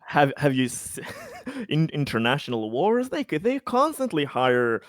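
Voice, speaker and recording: A man's voice: fairly high pitch, big pitch swings, a little slow, no room sound, clean studio sound. A man talking casually as a player in a tabletop roleplaying game.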